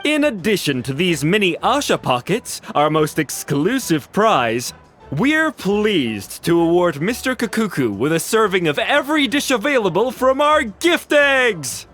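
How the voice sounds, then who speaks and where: An actor playing a showy, cheerful prize announcer in a video game, theatrical and formal. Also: male voice, high-pitched, big pitch swings, unhurried, a bit bright, faint music behind, full character voice. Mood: celebratory.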